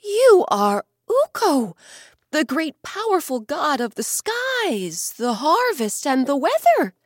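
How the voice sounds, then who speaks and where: A woman's voice: on the high side, wildly swooping pitch, slow pace, dry recording, studio quality, voice pushed up, exaggerated voice. A woman voicing a big, kind brown bear in a children's story, eager to help. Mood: awestruck.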